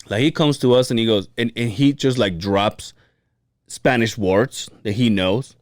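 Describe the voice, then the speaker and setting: A man co-hosting a podcast in casual chat, telling stories in an animated way, swearing freely and laughing often. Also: medium pitch, slow, no room sound, clean studio sound. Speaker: podcast co-host.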